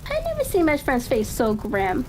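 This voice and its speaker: Female story reader, high voice, varied melodic intonation, slightly stumbling delivery for a relaxation reading. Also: huge pitch swings, a little mellow. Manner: halting, stumbles over phrases. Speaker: audiobook reader. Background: faint ambient noise.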